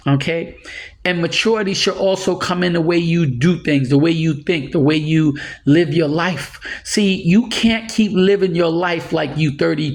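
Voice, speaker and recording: A man in his sixties giving earnest, rambling life advice in a casual monologue, chuckling now and then. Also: a little high. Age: 60s. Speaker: motivational speaker.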